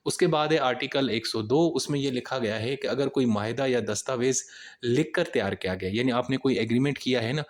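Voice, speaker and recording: A man's voice: medium pitch, a little fast. A man with a Pakistani accent speaks in a mid-range voice. He lectures informally, like a teacher at work, restating each point in plainer words and dropping in conversational tag phrases. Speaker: law lecturer.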